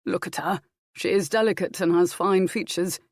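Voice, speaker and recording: A woman voicing a protective royal duke, commanding yet kindly, with measured formal speech in a historical audiobook. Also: on the low side, steady tone, darkish tone, dry recording, clean studio sound, lightly acted. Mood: authoritative.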